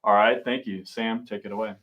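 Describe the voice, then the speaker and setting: A man's voice: fairly low pitch, steady tone, fairly quick, highs cut off. A man, a teacher, introducing a live student broadcast in plain spoken remarks.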